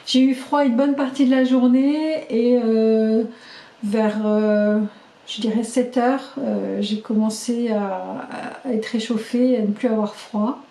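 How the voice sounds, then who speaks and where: A middle-aged woman recording a casual video diary, chatty and halting with many fillers, her voice slightly hoarse as she loses it. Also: on the high side, steady tone, warm tone. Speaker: vlogger keeping a video diary. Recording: echoey room.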